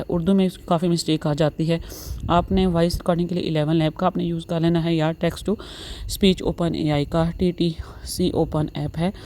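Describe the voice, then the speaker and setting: A woman's voice: deep voice, mellow. A woman narrating a step-by-step tutorial, explaining instructionally and repeating herself. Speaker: tutorial host. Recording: faint background noise.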